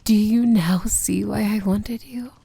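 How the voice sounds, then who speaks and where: A woman acts out a powerful evil princess in a romantic fantasy ASMR roleplay. Her voice is breathy and mellow, often slipping into whispers, with a crackle of fry. She speaks intimately, possessive and commanding yet tender. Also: mid-range voice, steady tone, unhurried, no echo. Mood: soft, tender.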